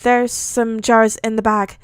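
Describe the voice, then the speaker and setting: A woman's voice: on the high side, level tone, unhurried, clean tone, no room sound, close to an everyday voice. Female reader as weary new guardian in an audio story, male, grieving, earnest, apologetic; dry sound. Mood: plain. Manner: pained, earnest.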